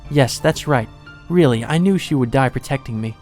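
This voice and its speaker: A man's voice: medium pitch, moderate pace, voice pushed up, lightly acted. A man voicing an emotionless, doll-like young maid from a visual novel, detached and wistful. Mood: wistful, puzzled. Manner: detached, wistful, softly confessing. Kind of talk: visual novel character reading. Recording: music under the voice.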